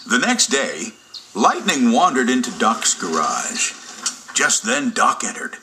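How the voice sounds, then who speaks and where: A man narrating a children's storybook from a script in a dramatic, expressive storytelling manner. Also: mid-range voice, takes it slowly, soft background music. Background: loud musical score.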